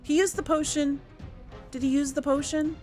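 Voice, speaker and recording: A woman's voice: high-pitched, level tone, moderate pace, over loud music. A woman giving casual video game commentary, thinking aloud in an exasperated way. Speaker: host playing a video game. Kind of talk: casual let's play commentary.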